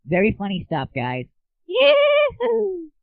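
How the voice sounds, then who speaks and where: A man voicing an excitable, crude midget movie reviewer who whoops with glee in a comedy audio show. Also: very high-pitched, slow pace, creaky, no echo, phone-quality sound, voice pushed up, exaggerated voice. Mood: gleeful, crude.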